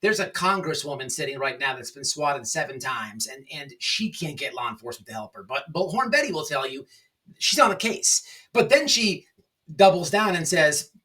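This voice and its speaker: A man hosting an informal opinion commentary, speaking in a halting, repetitive, emphatic and sarcastic way. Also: a little high, very animated, pushed and bright, far from the mic. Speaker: online commentary show host.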